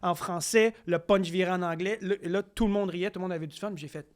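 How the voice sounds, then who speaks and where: A young man with a Quebec French accent, a comedian telling stories on a podcast. He acts out quotes and talks in quick bursts with restarts. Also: high-pitched, fairly even intonation. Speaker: comedian guest. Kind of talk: casual podcast interview storytelling.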